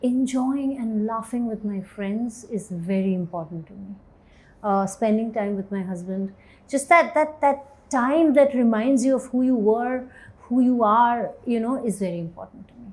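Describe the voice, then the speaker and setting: Thoughtful, mellow-voiced woman in her forties. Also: normal speed, rough recording. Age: 40s. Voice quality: low voice, self-described as bass. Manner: thoughtful, hesitant, laughs easily. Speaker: actor and writer, interview guest. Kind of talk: candid interview conversation.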